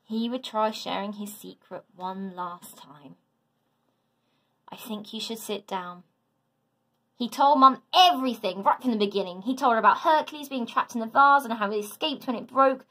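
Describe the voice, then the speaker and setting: A woman teacher narrating a children's story aloud in a dramatic, deliberate, expressive way. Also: a little high. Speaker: teacher reading to a class.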